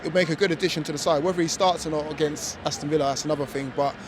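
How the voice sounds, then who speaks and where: A man's voice: fairly high pitch, steady tone, rapid-fire. A man giving chatty football opinions outdoors, full of fillers and tag questions. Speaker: fan pundit. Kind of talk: casual football chat. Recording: outdoor traffic noise.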